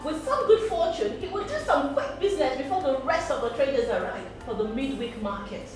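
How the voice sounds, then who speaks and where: A woman telling a story to children, pausing to explain and ask questions. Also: high voice, lively intonation. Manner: explains words, asks questions. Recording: echoey, distant mic, music behind.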